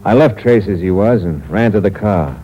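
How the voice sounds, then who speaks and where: A man's voice: sits low, lively intonation, normal speed. An actor playing a cold, hard-boiled con man who narrates in the first person in a 1950s radio drama, with tough, clipped slang and a menacing edge. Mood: narrating. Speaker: narrator and lead character. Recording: dull 1950s radio broadcast with hum.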